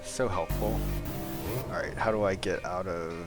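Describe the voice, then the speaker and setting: A man's voice: sits low, steady tone, normal speed. A man hosting a group game stream, chatting casually on a headset. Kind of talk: casual gaming chat. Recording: loud music behind the voice.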